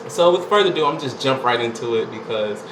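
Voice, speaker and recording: A man's voice: medium pitch, expressive, fast. A man, casually talking through his experience in a rambling, chatty way with lots of fillers. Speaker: vlogger. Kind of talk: casual vlog recounting a singing audition. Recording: echoey room with loud air-conditioning hum.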